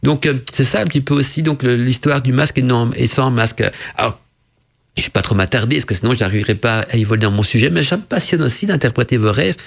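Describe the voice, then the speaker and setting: A man's voice: medium pitch, fast, creaky. A male radio host who is not a native French speaker, reading listeners' messages and interpreting their dreams in a rambling, casual way full of fillers and restarts.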